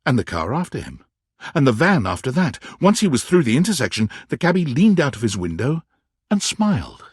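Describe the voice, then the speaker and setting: A man giving scripted, theatrical narration for a game, telling the story in an expressive, dramatic way. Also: medium pitch, fairly quick, slightly rough, no echo, clean studio sound.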